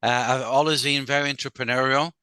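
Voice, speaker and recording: Guest lawyer, middle-aged man, slight Scottish accent, smooth bright tone, measured with many pauses. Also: mid-range voice, fairly even intonation, dry recording. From Brazil. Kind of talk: casual interview talk.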